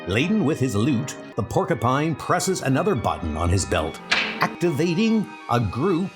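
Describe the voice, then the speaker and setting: A man's voice: medium pitch, big pitch swings, normal speed. A man giving dramatic scripted narration for a comic-book story. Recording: loud music under the voice.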